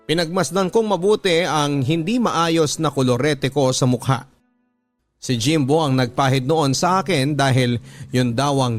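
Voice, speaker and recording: A Filipino-accented man narrates a confessional story in the manner of a radio drama host. His mid-range voice is solemn and deliberate, carried over a bed of background music. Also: mid-range voice. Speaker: radio drama host reading a listener's letter.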